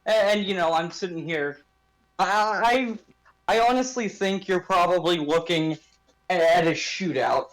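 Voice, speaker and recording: A man with a notably high voice hosts a casual sports podcast. He talks informally and puts emphasis on his points, as in a chat between friends. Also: measured pace, fairly bright tone, rough recording.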